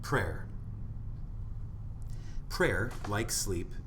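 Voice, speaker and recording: A man reading an audiobook from a script in an emphatic, deliberate manner, with dramatic pauses for effect. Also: on the low side, expressive, noisy background. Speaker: audiobook narrator.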